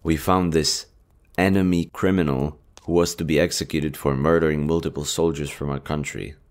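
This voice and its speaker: Guy playing a kind earnest army general, really deep voice, halting and emotional, romance roleplay audio. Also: fairly even intonation, darkish tone. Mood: apologetic, sincere. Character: kind, earnest young male army general, secretly fond.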